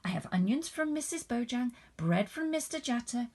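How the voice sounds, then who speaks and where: An eager young village girl from a storybook, acted by a woman reader, speaks in a high, loud, excited voice. She rattles off her news emphatically, a touch husky. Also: normal speed.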